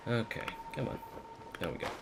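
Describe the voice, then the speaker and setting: A man's voice: brisk, very noisy. A man giving casual gameplay commentary, muttering to himself in an unguarded, informal way. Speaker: game streamer. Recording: game music behind the voice. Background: video game music.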